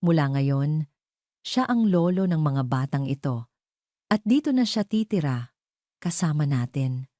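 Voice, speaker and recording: A woman voicing an earnest, devoted young father and wealthy suitor in an audio story, speaking in a sincere, gentle, measured way. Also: sits low, lively intonation, no room sound, clean studio sound. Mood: warm, proud.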